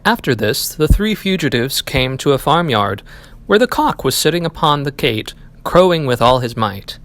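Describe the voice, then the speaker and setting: A man reading a fairy tale aloud as an animated audiobook narration. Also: on the high side, normal speed. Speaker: library staff reading aloud.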